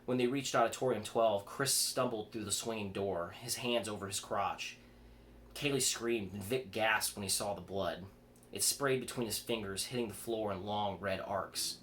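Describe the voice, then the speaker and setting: A man's voice: on the low side, normal speed, fairly bright tone. A man reads a horror story aloud, occasionally stumbling and rereading a word. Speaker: author reading own fiction aloud. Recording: clear background noise.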